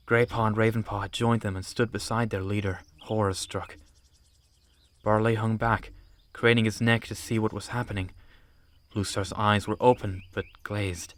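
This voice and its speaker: A man reading scripted audiobook narration of an animal adventure story. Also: on the low side.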